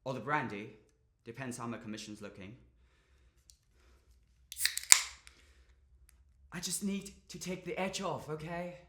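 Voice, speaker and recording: A man's voice: mid-range voice, steady tone, fairly quick, fairly bright tone, slight background noise, lightly acted. A young man acting a dramatic monologue as a drained, burdened young man, confiding with bitter, volatile emotion. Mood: subdued, weary. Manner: confessional, sweary, emotionally volatile. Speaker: drama student actor. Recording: echoey room.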